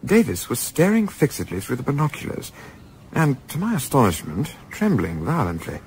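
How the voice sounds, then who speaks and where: An actor playing a polite, well-spoken young English gentleman who narrates his adventure in a radio play, with an English accent. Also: medium pitch, huge pitch swings, moderate pace, vocal fry, a little hiss or hum, rough recording. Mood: reflective narration. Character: Carruthers, urbane young English gentleman, wry, diarist narrator. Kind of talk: radio play acting and first-person narration.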